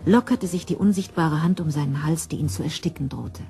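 Deep, mellow female narrator. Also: a little fast, soft background music. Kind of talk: scripted audio drama narration.

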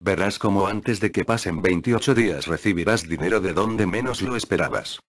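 A man calmly reading step-by-step ritual instructions as a scripted voice-over. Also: low voice, moderate pace, no echo, clean studio sound. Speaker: narrator of a spell tutorial.

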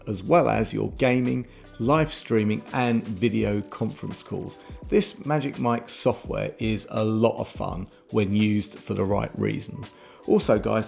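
A man's voice: fairly low pitch, vocal fry, darkish tone. A middle-aged man presenting a tech product review in a casual but scripted YouTube style, recorded indoors on a close desk mic. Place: home room with shelves, indoors. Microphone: desk microphone close in front. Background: background music.

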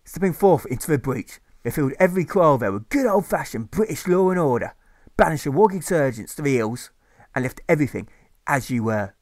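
A man's voice: a little high, expressive, fairly quick, no echo, close to an everyday voice. A man voicing a boastful army drill sergeant telling a hearty war tale with tag questions.

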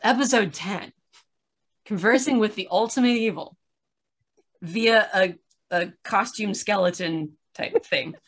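Wry, animated woman chatting casually. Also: mid-range voice, a little slow, long pauses. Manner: animated storytelling with acted-out asides and wry exasperation. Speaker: podcast reviewer in a video chat. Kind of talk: casual chat, opinionated recounting. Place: small room at home, on a video call.

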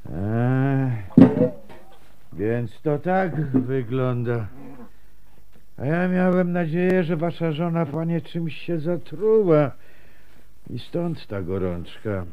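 An actor playing a kindly, deferential milk-seller in a radio drama, with a hesitant manner. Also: male voice, a little high, expressive, slow pace, pauses often, smooth. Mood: concerned, deferential. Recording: slightly muffled, faintly noisy radio recording.

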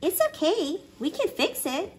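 A woman voicing a small, kind hermit crab in a children's storybook, gentle and encouraging. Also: extremely high pitch, sing-song, unhurried, pitched above the performer's own voice, heavily acted. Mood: gentle, reassuring.

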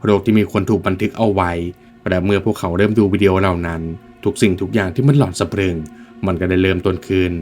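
A man reading scripted film commentary as a video essay narrator, explaining in an emphatic storytelling manner. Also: low voice.

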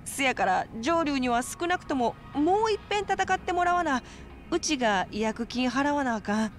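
High-pitched, pushy Kansai hustler gal. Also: female voice, moderate pace, faint music behind. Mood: sheepish pleading. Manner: animated, coaxing, emphatic. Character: brash, pushy, wheedling female fight promoter. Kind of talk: video game cutscene acting.

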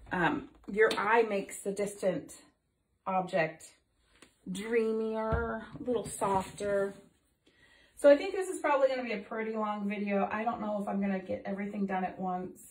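A woman's voice: medium pitch, a little hiss or hum. A middle-aged woman explaining a painting project as she works, chatty and full of ums, her voice a little off from recent illness. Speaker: art teacher demonstrating painting. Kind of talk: casual painting tutorial. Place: home art studio room. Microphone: phone camera overhead, speaker off to the side. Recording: echoey room, voice off-mic.

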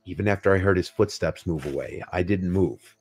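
A man narrating a scripted first-person scary story in a measured, unhurried way. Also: low-pitched, a little mellow. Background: music behind the voice.

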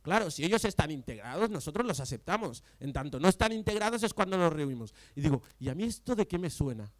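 The young man at a political rally's panel table, arguing his case in a high voice, close and dry on a handheld mic. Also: big pitch swings, fast, no echo. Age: young adult. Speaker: panel speaker. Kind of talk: impassioned political panel talk. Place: panel table in an event tent before an audience. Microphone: handheld mic close to the mouth.

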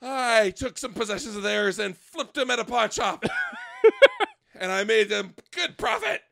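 A man voicing a manic, drug-craving detective in a comedic story reading, theatrical and bombastic, swinging into shouts. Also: very high voice, expressive, a little slow, creaky, no room sound, studio quality, heavily acted. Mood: pompous.

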